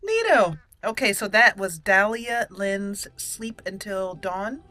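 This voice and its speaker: A woman's voice: mid-range voice, expressive, slow, bright, forceful tone, dry recording. A woman hosting a roleplay let's play, chatting casually and playfully as she thinks aloud. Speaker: game roleplay channel host.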